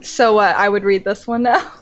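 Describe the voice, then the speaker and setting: A woman's voice: medium pitch, steady tone, normal speed, highs cut off, faint music behind. A woman co-reading a story, giggly and a little hesitant, in a casual chat. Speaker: co-reader.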